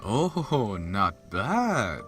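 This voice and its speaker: A man's voice: on the low side, wildly swooping pitch, very slow, fairly bright tone, faint music behind, full character voice. A man playing a hearty, jovial, fatherly god who teases and exclaims in an audio drama. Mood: amused. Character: jovial, bellowing, drum-beating male god, fatherly.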